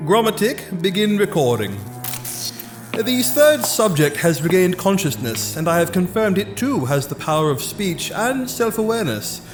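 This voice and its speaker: Man playing a high-voiced, pompous mad scientist, echoing room. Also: very animated, moderate pace. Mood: clinical, commanding. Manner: formal, precise, dictating. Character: pompous male mad scientist, clinical, imperious, self-important. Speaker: actor. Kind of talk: full-cast fantasy audio drama acting. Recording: roomy echo with music behind.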